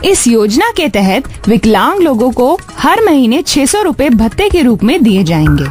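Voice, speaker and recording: A woman's voice: fairly high pitch, sing-song, moderate pace, darkish tone. A woman reading scripted news items as a radio presenter. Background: faint music.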